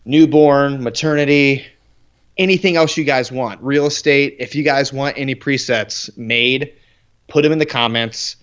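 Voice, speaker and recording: A man's voice: medium pitch, a little slow, fairly bright tone, clear, resonant tone. A man co-hosting a live photography podcast, joking playfully through casual banter. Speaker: podcast co-host, photography instructor. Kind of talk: casual live-stream chat. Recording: slightly dull lo-fi audio.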